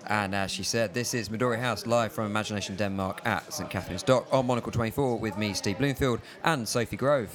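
A man hosting a radio show, presenting in a smooth, scripted way. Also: fairly low pitch, quick pace, hardly any pauses, a crowd in the background. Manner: smooth, polished delivery. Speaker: radio host.

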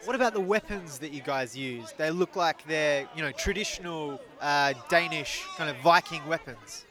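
A man's voice: fairly high pitch, normal speed, crowd noise. A man working as a radio reporter, interviewing people outdoors at a public event in a casual way, filling his questions with "you know". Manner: chatty, leading questions, many "you know"s.

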